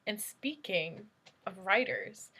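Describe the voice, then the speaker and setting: A woman's voice: medium pitch, lively intonation, sharp tone, breathy edge, low-quality recording. A woman moderating a casual panel discussion, searching for her words as she thinks aloud. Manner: halting, reflective, searching for words. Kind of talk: casual post-show discussion.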